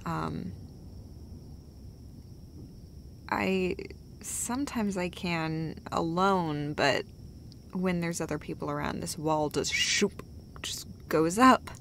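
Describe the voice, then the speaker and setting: A woman's voice: on the low side, creaky, a bit bright, slight background noise, full character voice. A woman playing a tender, foul-mouthed girlfriend who comforts her partner in an intimate, conversational roleplay, rambling and hesitating as she goes. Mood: soft, vulnerable. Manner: halting, hesitant, rambling, sweary. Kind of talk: intimate roleplay audio monologue, casual and conversational.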